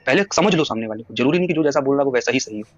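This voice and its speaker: A man's voice: medium pitch, animated, very fast, no room sound. A man talking casually and insistently at length on a recorded phone call, trying to persuade the other person. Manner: insistent, long-winded, persuasive.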